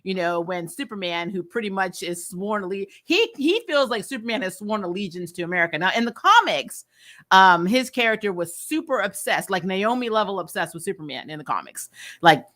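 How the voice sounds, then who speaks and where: A woman casually recapping TV episodes as a vlog host, animated, often acting out lines and dropping in "you know". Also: mid-range voice, fairly quick. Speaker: host of a comic TV review vlog.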